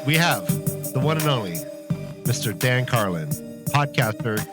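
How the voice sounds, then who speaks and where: A man hosting a podcast in a jokey, casual way, excitable and stumbling over his words. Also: medium pitch, normal speed, loud music behind the voice. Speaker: podcast host.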